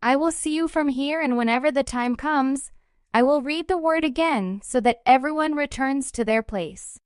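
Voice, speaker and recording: A woman's voice: sits high, moderate pace, no echo, studio quality, voice pushed up, close to an everyday voice. A woman voicing a magical talking tree in a children's story, making solemn, instructive pronouncements.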